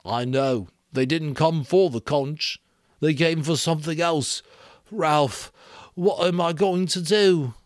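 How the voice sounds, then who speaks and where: A man's voice: on the high side, slow pace, no echo, studio quality, pitched above the performer's own voice. A man voicing a distressed schoolboy in a book reading, plaintive and anxious. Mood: anxious, helpless. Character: distressed, helpless schoolboy robbed after a fight.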